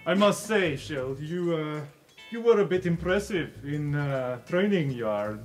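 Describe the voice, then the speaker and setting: Casting: male player as honourable elephant warrior, formal and slow-spoken, tabletop fantasy, over background music. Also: a little high, animated. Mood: proud, conciliatory. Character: proud, formal elephant-folk warrior guard, earnest and honourable.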